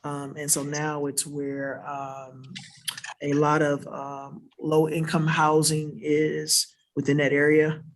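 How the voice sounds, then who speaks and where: Earnest, explanatory man with a higher voice. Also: completely flat delivery, takes it slowly. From South Florida, USA. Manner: earnest and explanatory, often adds a questioning "right?" to his points. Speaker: invited panelist answering audience questions. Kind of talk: unscripted panel answer. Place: church worship center.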